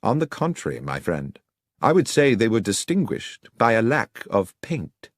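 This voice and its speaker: A man's voice: lowish voice, big pitch swings, normal speed, dry recording, clean studio sound, voice pushed up. A man voicing a confident, theatrical detective in an audiobook, with a precise, declamatory and assured delivery. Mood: assured, probing. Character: confident, theatrical male detective explaining his deductions.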